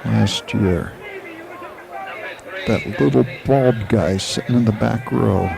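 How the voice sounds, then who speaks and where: Give me a man playing an indignant middle-aged professor with a gravelly voice. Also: lowish voice, slow, a crowd in the background, full character voice. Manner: indignant, stammering when taken aback. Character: proud male literature professor, middle-aged. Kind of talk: 1980s radio drama.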